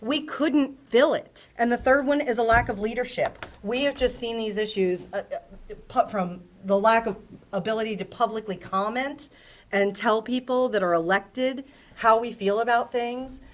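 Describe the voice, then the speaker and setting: A woman from New answering as a candidate at a town forum, earnest and measured, with frequent ums. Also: on the high side.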